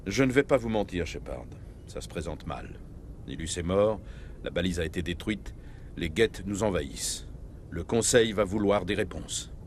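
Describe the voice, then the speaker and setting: A commanding middle-aged starship captain in a video game. He is grave and measured and speaks with military authority. A faint rumble sits behind the voice. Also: lively intonation. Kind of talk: dramatic video game dialogue.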